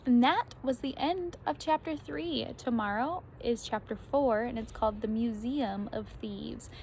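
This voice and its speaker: A woman reading a children's story aloud like a teacher, expressively, with animated emphasis on key words. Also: a little high, unhurried, slight background noise, low-quality recording.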